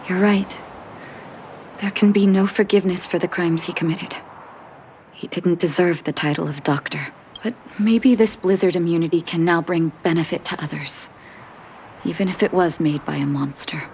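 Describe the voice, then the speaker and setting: A woman voicing a gentle, composed female doctor with quiet sorrow in video game story dialogue, soft and reflective. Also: on the low side, normal speed, a little hiss or hum, natural voice. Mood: sad, reflective. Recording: background game music.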